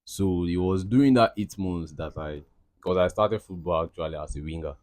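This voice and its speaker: Young male interviewee, very deep voice, loose rambling answers, dry close recording. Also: moderate pace, darkish tone, dry recording. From Cameroon. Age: young adult. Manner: rambling, many fillers and restarts. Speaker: interviewee, aspiring footballer.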